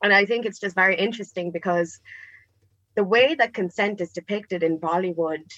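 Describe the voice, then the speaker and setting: A woman's voice: mid-range voice, moderate pace, a bit bright, no room sound, lo-fi sound. A young woman guest on a live podcast, talking casually with many ums and likes and laughing easily.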